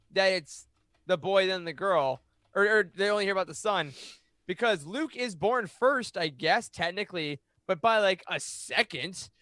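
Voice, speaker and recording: An American man chatting casually on a roundtable podcast in a jokey, animated way, acting out quotes. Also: high voice, no room sound. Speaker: podcast panelist. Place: home studio, remote video call. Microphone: close studio mic on boom arm.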